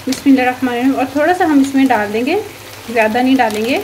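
Woman, high voice, homely cooking narration, blessings throughout, faint kitchen sizzle. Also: rapid-fire, a little mellow, a little hiss or hum. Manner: frequent religious blessings, homely instructive. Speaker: cooking channel host. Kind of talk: narrating a home cooking recipe. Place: home kitchen.

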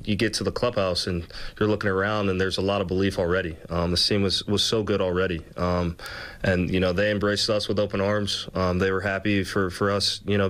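A man in his thirties, a baseball player answering questions at a postgame press conference in a relaxed, thoughtful way. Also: sits low. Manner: relaxed, many fillers, thoughtful. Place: press room with team backdrop.

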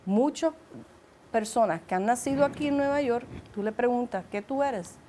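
A woman's voice: on the high side, moderate pace, dark tone, a little hiss or hum. A middle-aged woman, speaking emphatically and conversationally as a guest on a studio panel. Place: television studio.

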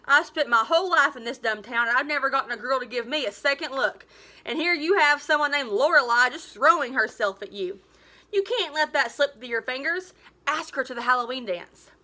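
A woman's voice: high voice, lively intonation, brisk, pushed and bright, exaggerated voice. A woman reading a storybook as an exasperated, know-it-all schoolboy, explaining things emphatically. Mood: disgusted, envious. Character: exasperated, unpopular, know-it-all eighth-grade boy.

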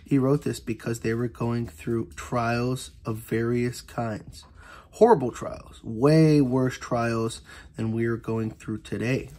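A man's voice: medium pitch, steady tone, takes it slowly, warm tone. A man giving an informal Bible study lesson. He speaks earnestly, often repeats himself and restarts with fillers. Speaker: Bible teacher. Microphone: voice-over on an overhead camera view of an annotated Bible.

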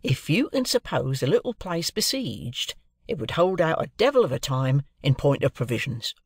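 A woman's voice: deep voice, very animated, moderate pace, husky, no room sound, studio quality, full character voice. A woman voicing a proud, shrewd, eccentric male clerk in an audiobook, with emphatic, confiding, colloquial talk. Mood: proud, eager. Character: chirpy, proud middle-aged London clerk, man, shrewd and eccentric.

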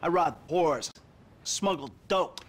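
An actor playing a bitter, foul-mouthed middle-aged American criminal in a video game, sarcastic and world-weary. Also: male voice, a little high, lively intonation, slow. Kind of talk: video game cutscene dialogue.

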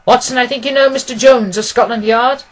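A man's voice: very high voice, fairly even intonation, fairly quick, voice pushed up. A man voicing a clever, commanding detective in a storybook, confident, severe and precise. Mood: brisk, assured.

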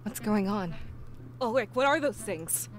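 An actress playing a sharp-witted, skeptical female beast fighter in a fantasy game. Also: mid-range voice, expressive, a little slow, slight background noise, natural voice. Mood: alarmed.

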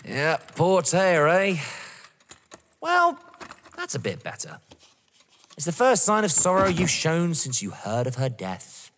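Actor as taunting young avenger, swooping delivery. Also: male voice, a little high, huge pitch swings, slow, pauses often, a bit bright, a little hiss or hum, exaggerated voice. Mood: scornful. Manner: mocking, theatrical, toying. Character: taunting, vengeful young man running a sadistic game. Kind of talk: audio drama acting. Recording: long reverb.

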